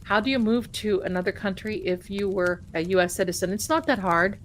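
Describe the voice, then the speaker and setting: A middle-aged woman casually chatting with her live-stream viewers as a tarot reader, rambling warmly and calling them her darlings. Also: mid-range voice, brisk, slight background noise.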